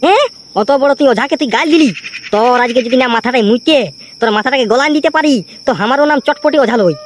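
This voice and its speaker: Someone voicing a pompous, high-voiced village exorcist. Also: fast, a little hiss or hum, natural voice. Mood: indignant. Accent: Purulia Bengali. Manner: pompous, chanting. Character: boastful, irritable village exorcist man chanting nonsense spells. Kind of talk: comic cartoon voice acting.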